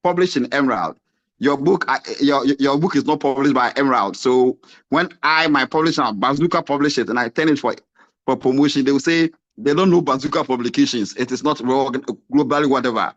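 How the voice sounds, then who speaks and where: A man's voice: mid-range voice, fairly quick, highs cut off. A man from Ghana, an academic and novelist, asking an impassioned question at a panel, firing off rhetorical questions with rising emphasis. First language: a Ghanaian language.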